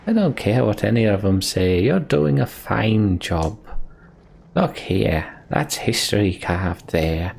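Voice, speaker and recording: A man's voice: medium pitch, creaky, darkish tone, a little hiss or hum, full character voice. A man voicing a boastful, rambling old minstrel from a video game, telling tales in colloquial speech. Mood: wistful, sincere. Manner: colloquial, storytelling, swooping emphasis. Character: rambling, boastful old male minstrel, cheerfully world-weary. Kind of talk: reading video game dialogue in character.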